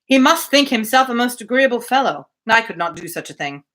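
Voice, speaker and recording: A woman voices a blunt, sly gentleman from a classic novel, grumbling and formal. The delivery is bright and very animated, with opinionated, emphatic phrasing. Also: a little high, fairly quick. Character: grumpy, blunt, sly gentleman brother-in-law.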